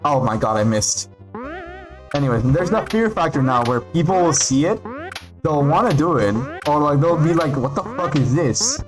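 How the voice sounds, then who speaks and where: A man from Peru whose first language is Spanish, chatting casually and excitably while streaming a game. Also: a little high. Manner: rambling, excitable, reads out names with pauses. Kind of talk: casual livestream chat while gaming. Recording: faint background music.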